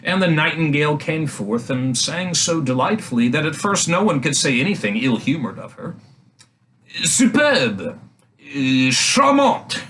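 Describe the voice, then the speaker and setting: A man reading a fairy tale aloud for young children as a storyteller, in an animated style. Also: a little high, moderate pace, hardly any pauses, low-quality recording. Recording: faint background noise.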